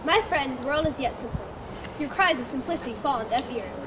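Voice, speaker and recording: A woman's voice: high voice, expressive, distant mic, noisy background. A woman reading a probing mentor landowner. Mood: earnest. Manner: measured, probing. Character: wise male landowner mentor, challenging and philosophical. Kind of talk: stage play reading.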